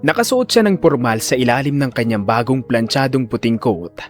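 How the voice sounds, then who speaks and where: A man narrating a scripted audio drama in a deliberate, theatrical storytelling manner. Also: mid-range voice, animated. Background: faint music.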